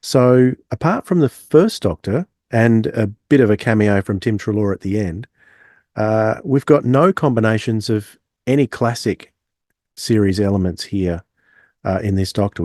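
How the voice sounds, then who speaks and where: A man's voice: on the low side, normal speed, a little mellow, dry recording, studio quality. A man hosting a podcast, presenting and discussing a review. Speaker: podcast host.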